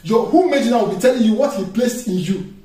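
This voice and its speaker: A man's voice: high voice, expressive, quick pace, dark tone, faint music behind. A man, a pastor preaching a sermon with emphatic call-and-response questions to his congregation. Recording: echoey room, distant mic, faint noise.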